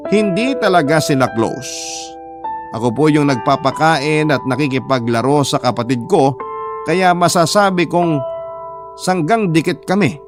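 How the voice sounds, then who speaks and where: An actor with a Filipino accent playing a devoted elder sibling, narrating a sad life story in an earnest, confiding way. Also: male voice, medium pitch, lightly acted. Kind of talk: first-person dramatic radio narration.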